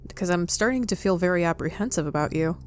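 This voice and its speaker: A woman's voice: fairly low pitch, animated, quick pace. A woman giving casual playthrough commentary on a game, musing and thinking aloud a little hesitantly. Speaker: gaming commentator.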